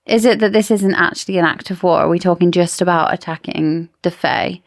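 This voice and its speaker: A woman's voice: fairly low pitch, a little fast, no echo, studio quality. A woman co-hosting a book discussion podcast, talking casually in an inquisitive, opinionated way. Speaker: podcast co-host.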